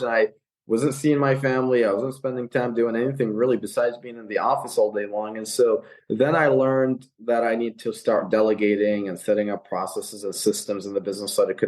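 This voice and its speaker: A man's voice: medium pitch, darkish tone, poor audio. A young man from Iraq, a guest on a podcast interview, telling his story earnestly with frequent fillers and restarts. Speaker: guest, real estate investor. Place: California.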